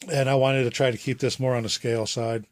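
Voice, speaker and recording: A man with a cold and a phlegmy throat, talking through a hobby build update in a halting, unscripted way with many fillers. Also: medium pitch, no room sound, clean studio sound. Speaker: RC hobbyist showing his build.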